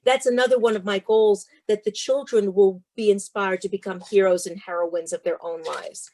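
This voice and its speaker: A woman's voice: medium pitch, fairly even intonation, normal speed, darkish tone. A woman speaking as a guest author, with an emphatic, rhythmic delivery suited to sharing and reading storybooks. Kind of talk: interview talk and expressive storybook read-aloud.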